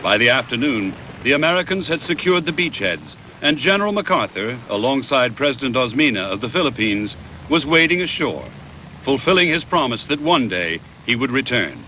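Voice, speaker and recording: A man reading scripted historical documentary narration in a measured, formal way with deliberate pauses, on a VHS transfer. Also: medium pitch, sing-song, pushed and bright, slight background noise, rough recording.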